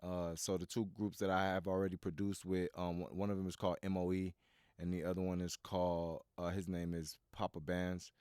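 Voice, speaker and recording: Deep-voiced man from Miami, rambling casually. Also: level tone, a little fast, darkish tone, clear, resonant tone, no room sound, clean studio sound. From Miami, USA. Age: 30s. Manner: rambling, full of "you know" and restarts. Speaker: guest, studio owner. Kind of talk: casual interview talk.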